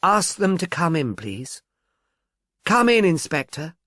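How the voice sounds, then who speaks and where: A man's voice: on the high side, very animated, takes it slowly, fairly bright tone, dry recording, studio quality, pitched above the performer's own voice, heavily acted. A man voicing a poised young woman in her twenties, civil and mildly sardonic, drawling with a slight lisp. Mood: brisk, welcoming. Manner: civil, self-possessed.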